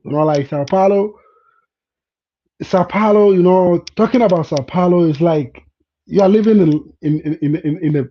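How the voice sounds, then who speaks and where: A man from Ghana chatting live with viewers, repeating words and often asking if they understand. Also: on the high side. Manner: keeps checking in with "you understand?". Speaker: vlogger hosting a live chat. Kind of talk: casual live-stream chat with viewers. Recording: dull lo-fi sound.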